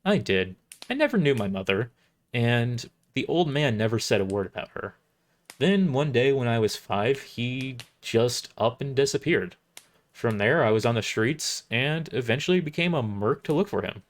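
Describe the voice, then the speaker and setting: A man's voice: medium pitch, lively intonation, moderate pace, close to an everyday voice. Audio drama role: guarded woman merc, orphaned, sardonic and plain-spoken, read by a man. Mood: blunt, bitter. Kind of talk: amateur audio drama character reading.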